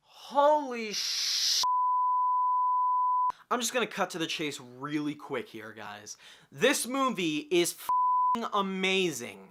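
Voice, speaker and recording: A man's voice: fairly high pitch, pushed and bright. A man in his early twenties giving an enthusiastic, emphatic movie review in casual fan talk with some swearing. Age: early 20s. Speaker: film reviewer.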